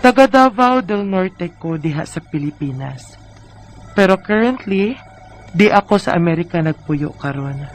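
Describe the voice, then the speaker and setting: A woman's voice: on the low side, lively intonation, measured pace, faint music behind. A woman in her thirties with a Bisaya Filipino accent, playing a weary, discontented wife in a plaintive, sighing way for a radio drama. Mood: earnest narrating. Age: 30s. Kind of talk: Bisaya radio drama acting and letter narration.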